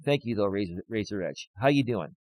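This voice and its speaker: A man of fifty, a life coach, ranting loudly and emphatically on a live stream while reading out chat, close on a studio dynamic mic. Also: on the low side, animated, brisk, dry recording, poor audio. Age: 50s.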